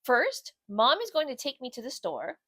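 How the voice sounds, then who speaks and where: Woman as a sweet, earnest girl bulldog, high-pitched. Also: wildly swooping pitch, normal speed, fairly bright tone, no echo, studio quality, heavily acted. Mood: eager. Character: sweet, smart, busy, determined female bulldog. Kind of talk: children's storybook character voice.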